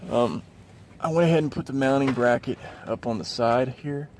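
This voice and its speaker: A man's voice: medium pitch, moderate pace, a little mellow. Man, mid-range voice, informal rambling explanation, hands-on how-to vlog, slight background vehicle noise. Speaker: DIY car video host. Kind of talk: casual hands-on install walkthrough. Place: in and around a car.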